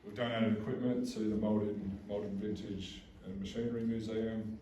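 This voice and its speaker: Booming Australian man in an echoey hall. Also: level tone, mellow, slight background noise. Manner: halting, many fillers and restarts. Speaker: mining company representative. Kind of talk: community information session presentation. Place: public meeting hall. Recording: roomy hall recorded from a distance.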